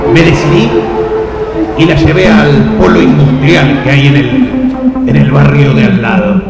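A man plays an easygoing Argentine local narrating his absurd romance in a comic radio play. The voice is fairly high and gravelly, halting and wonderstruck, in a very echoey space. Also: full character voice. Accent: Argentine, Rioplatense. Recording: echoey reverb, loud music behind.

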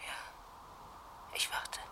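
An actress playing the fearful, fragile wife of a police inspector in a 1970s German radio crime drama, tense and halting. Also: female voice, sharp tone, in a whisper, heavily acted. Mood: stunned, fearful. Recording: old radio play, faint noise.

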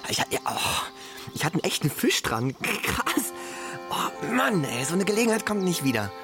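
A man's voice: high voice, sing-song, normal speed, music in the background. An actor playing an awkward, naive young man in a radio drama, hesitant and stammering in an informal way. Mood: flustered, excited. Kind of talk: naturalistic German radio drama acting.

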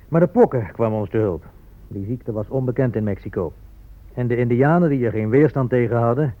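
Actor as blunt gold-hungry conquistador, tinny sound. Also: male voice, medium pitch, natural voice. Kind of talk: radio drama monologue and dialogue. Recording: muffled phone-like old radio play.

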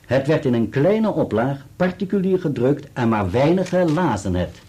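An actor playing a precise, formal solicitor in a radio play, with an articulate delivery. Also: male voice, mid-range voice, big pitch swings, normal speed, dark tone, breathy edge. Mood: declamatory, precise. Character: dutiful male solicitor reading an article aloud, precise and formal.

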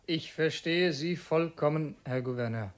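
An actor playing a tormented revolutionary assassin in a radio play, speaking tensely and repeating himself obsessively. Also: male voice, on the high side, unhurried, close to an everyday voice. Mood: deferential. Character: tormented revolutionary assassin posing as loyal aide. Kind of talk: German radio play acting.

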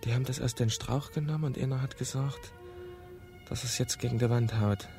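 A man's voice: mid-range voice, fairly even intonation, fairly quick, over music, lightly acted. A young man playing a frightened, hesitant teenage boy in a radio drama. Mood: haunted remembering. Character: scared, hesitant teenage boy hiding from a gang.

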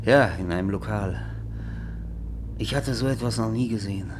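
An actor playing a wistful, worldly screenwriter in a German radio play, hesitant and given to repeating himself. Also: male voice, lowish voice, moderate pace, lightly acted. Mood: nostalgic, wistful. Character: wistful, worldly male screenwriter giving in to champagne. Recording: noisy, with steady vehicle-like drone.

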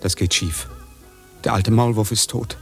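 A man playing a wry, anxious parrot owner in a radio play, dry and sardonic, with a husky voice. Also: on the low side, fairly even intonation, measured pace, over loud music. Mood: sardonic, worried.

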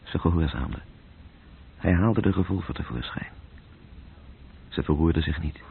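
A man narrating a literary radio drama in terse, measured phrases, with a gravelly, breathy voice. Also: extremely low pitch, a little hiss or hum. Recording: muffled, tinny old radio-play recording.

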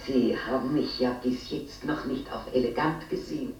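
A woman's voice: a bass voice, normal speed, darkish tone, far from the mic, soft background music. An actress playing a lonely, coaxing older mother in a radio play. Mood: coy. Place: large echoing empty hall. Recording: muffled, very echoey, noisy radio recording.

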